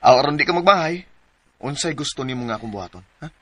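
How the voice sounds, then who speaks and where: An actor playing a smooth-talking young man in a radio drama, playful and cajoling, with a Cebuano Filipino accent. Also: fairly high pitch, lively intonation, brisk, a bit bright. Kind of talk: Philippine radio drama acting.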